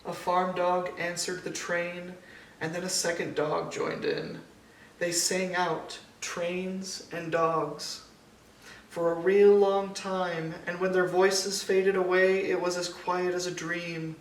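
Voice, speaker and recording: A man with a fairly high, creaky voice reads a children's story at an unhurried pace. He pauses deliberately between phrases. The sound is roomy and a little distant from the mic. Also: level tone. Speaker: storybook reader. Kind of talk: scripted children's picture-book narration read aloud. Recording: echoey room, distant mic, faint noise.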